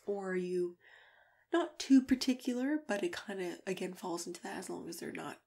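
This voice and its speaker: Hushed, crackly-voiced woman doing ASMR roleplay. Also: lowish voice, animated, creaky. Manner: gentle, hushed, unhurried, drifting into whispers. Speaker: ASMR roleplay host playing a matchmaker. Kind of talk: ASMR roleplay, soft-spoken chat with whispering. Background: computer keyboard typing and clicking.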